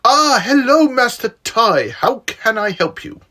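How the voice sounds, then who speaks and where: A man's voice: very high voice, big pitch swings, a little slow, sharp tone, full character voice. A man voicing a polite, formal elderly butler in a hobby skit. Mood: courteous, helpful. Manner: formal, courteous, proper.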